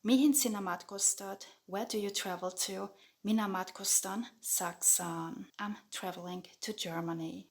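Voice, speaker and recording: Woman doing a grammar lesson voiceover, clear and steady, slows down to repeat the examples. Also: mid-range voice. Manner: clear, measured, repeats example sentences slowly. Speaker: Finnish language teacher. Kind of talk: scripted grammar lesson voice-over. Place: voice-over over lesson slides.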